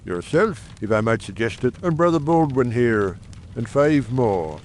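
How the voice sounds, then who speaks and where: A man's voice: mid-range voice, animated, unhurried, a little mellow, a little hiss or hum, pitched below the performer's own voice, natural voice. A man voicing an earnest, shrewd and confident secret society member making formal, persuasive speeches in a dramatised audiobook.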